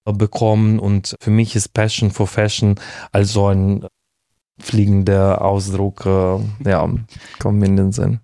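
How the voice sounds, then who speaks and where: A man talks as a guest on a podcast, his voice low and mellow. He is thoughtful and restarts often while he searches for the right words. The recording is dry, with no room sound. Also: completely flat delivery, a little slow, no echo. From Ukraine. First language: Russian. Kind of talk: casual conversation.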